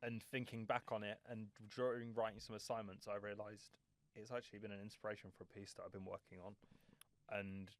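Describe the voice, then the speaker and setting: Young man, low voice, laid-back rambling chat, casual swearing, film podcast co-host. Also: fairly quick. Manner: relaxed, rambling, laughs often, swears casually.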